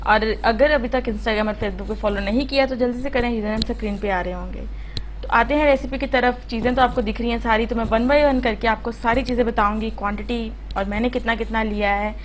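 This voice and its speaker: A woman's voice: on the high side, fairly even intonation, a little fast, a little hiss or hum, rough recording. A woman hosting a home cooking channel, explaining a recipe step by step in a chatty, instructive voice-over full of fillers.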